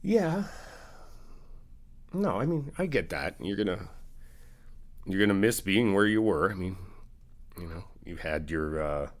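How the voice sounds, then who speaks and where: Lively low-voiced man in friendly roleplay. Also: unhurried, long pauses. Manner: warm, reassuring, chatty with soft laughs. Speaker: ASMR roleplay performer as a supportive friend. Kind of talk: ASMR comfort roleplay, talking to the listener.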